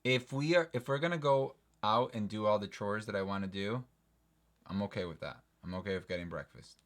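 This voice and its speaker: A man's voice: lowish voice, a little fast. Playful young man on a home stream. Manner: playful teasing, repeats words in runs. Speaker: co-host of a livestream. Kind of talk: casual chat reading live comments.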